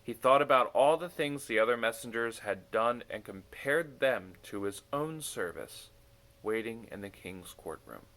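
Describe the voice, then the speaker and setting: A man with a mid-pitched voice reads a children's bedtime story. His delivery is expressive, with lively swings in pitch as he narrates. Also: unhurried, poor audio. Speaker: story reader.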